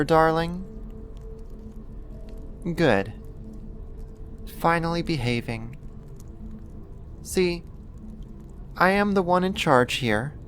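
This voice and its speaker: Slow-talking possessive yandere neighbor, acted out. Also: mid-range voice, a little hiss or hum, natural voice. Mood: threatening, controlling. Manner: coaxing, slow, deliberate, condescending endearments. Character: possessive yandere neighbor kidnapper, sweet yet menacing. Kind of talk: ASMR roleplay, intimate scripted monologue.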